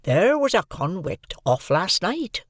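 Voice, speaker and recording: Storybook blacksmith: gentle, slow, patient explainer, voiced by the narrator, close dry read. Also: medium pitch, sing-song, no room sound, voice pushed up. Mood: explaining.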